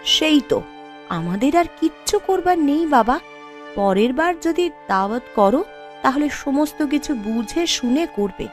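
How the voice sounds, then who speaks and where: A woman's voice: high-pitched, lively intonation, measured pace, soft background music. A woman voicing a proud, outspoken poor young wife in a moral-tale cartoon, with emphatic, dramatic delivery. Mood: hurt, firm.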